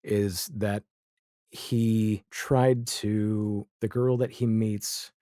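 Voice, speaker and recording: A man speaking as a podcast guest in a casual, reflective conversation, with halting, thoughtful phrasing. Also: on the low side, little pitch movement, warm tone, no room sound, studio quality.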